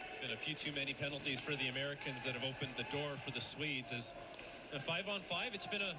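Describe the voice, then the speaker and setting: A man's voice: mid-range voice, quick pace. A man giving color analysis during a hockey broadcast, explaining the plays. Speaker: color commentator. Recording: broadcast with loud arena noise.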